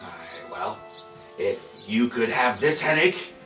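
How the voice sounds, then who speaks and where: A man's voice: medium pitch, expressive, slow pace, bright, forceful tone. A man speaking casually as a player in an improvised tabletop roleplay. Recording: echoey, distant mic, faint music behind.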